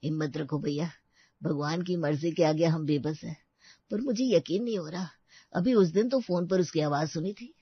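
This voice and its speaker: A woman's voice: low-pitched, moderate pace, husky, no room sound, dull audio. A woman voicing a blunt, emotional, indignant male relative in a Hindi cartoon family story. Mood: consoling.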